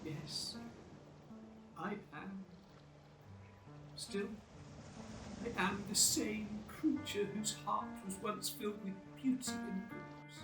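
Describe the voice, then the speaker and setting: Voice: medium pitch, steady tone, takes it slowly, long pauses, reverberant, recorded from a distance, over music, poor audio. An actor playing a tormented, self-loathing monster in a radio play, declaiming in a formal, theatrical, anguished way. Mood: bitter self-loathing.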